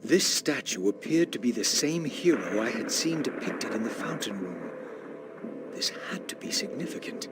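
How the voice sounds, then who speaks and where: An actor playing a brooding, aristocratic vampire who narrates his thoughts in a formal, measured, portentous way for a video game. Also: mid-range voice, sing-song, loud music behind the voice, lightly acted. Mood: solemn, brooding. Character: brooding, solemn male vampire, weary and aristocratic. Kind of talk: scripted video game narration, introspective monologue.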